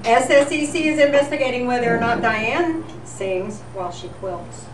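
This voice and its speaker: A high-pitched woman presents a scripted tribute in the manner of a radio newscast. She reads deliberately and with light comedy. Her voice comes from well away from the microphone in a very echoey room. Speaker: event presenter. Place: live event in a senior center room. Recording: echoey, noisy room recorded from far off.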